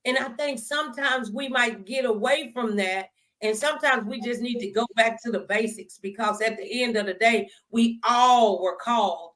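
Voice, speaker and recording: A woman with a slightly high voice hosts a conversational faith show. She talks in a folksy, preacherly way, like someone used to encouraging a congregation. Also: normal speed, hardly any pauses, a bit bright, poor audio. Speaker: talk show host.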